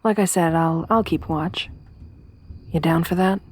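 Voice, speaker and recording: A young woman voicing a tough, tomboyish survivor companion in an ASMR roleplay, speaking softly with a wry, weary warmth. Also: fairly low pitch, fairly even intonation, unhurried, slight background noise, close to an everyday voice. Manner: soft-spoken, wry, quietly reflective.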